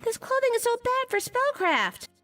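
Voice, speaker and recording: A young priestess girl from a video game, with a very high voice that moves around a lot in pitch. She explains her spellcraft in a confident, matter-of-fact way. Faint music plays behind her. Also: big pitch swings, normal speed, a bit bright, exaggerated voice. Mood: confident, insistent. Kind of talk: visual novel game dialogue.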